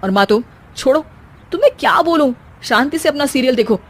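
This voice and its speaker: An exasperated young husband from a cartoon family comedy, acted out by a woman. The voice is on the high side, weary and wry. He scolds like someone stuck refereeing a household quarrel. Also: animated, brisk, breathy edge. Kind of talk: animated family comedy story acting.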